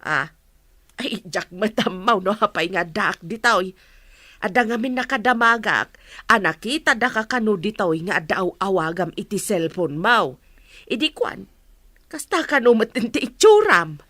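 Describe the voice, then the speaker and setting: A woman's voice: mid-range voice, animated, unhurried, pushed and bright, dry recording. A middle-aged woman with an Ilocano accent playing a nosy, insistent village mother in a radio drama. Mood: prying, scolding.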